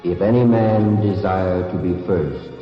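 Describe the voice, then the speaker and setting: A grave male teacher in a religious radio drama, resonant and very deep. He recites archaic scripture slowly and solemnly. The muffled recording carries music and a long reverb. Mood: solemn rebuke. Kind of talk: old-time religious radio drama acting.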